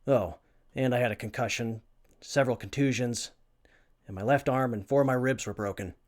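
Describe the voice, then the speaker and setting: A man's voice: mid-range voice, moderate pace, natural voice. A man playing an earnest, brainy young survivor who tinkers with armor, in a post-apocalyptic audio drama. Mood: weary, recounting.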